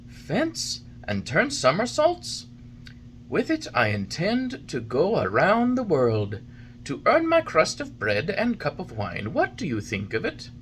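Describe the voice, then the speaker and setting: A reader voicing a hot-tempered little old male woodcarver who talks in an eager, indignant way and flares up quickly. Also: slight background noise. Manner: shrill, indignant, quick to anger.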